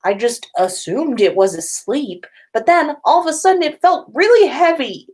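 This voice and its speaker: A woman acts out a sarcastic teenage wizard boy in a fan fiction read-aloud. He is loud and indignant, pitched up and swinging widely as he complains. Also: animated, normal speed.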